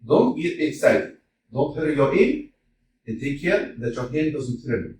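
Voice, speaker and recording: An actor playing a hot-tempered middle-aged landowner in a staged comedy reading, swinging from mutters to loud outbursts. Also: male voice, fairly high pitch, very animated, measured pace, slight background noise. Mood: coaxing. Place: community theater stage. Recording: echoey hall, mic from far off.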